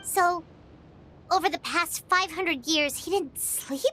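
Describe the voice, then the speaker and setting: An actress voicing a tiny childlike fairy companion in a fantasy game, with a squeaky, concerned delivery. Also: female voice, slow, pushed and bright, exaggerated voice. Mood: shocked, dismayed. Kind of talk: animated game voice acting.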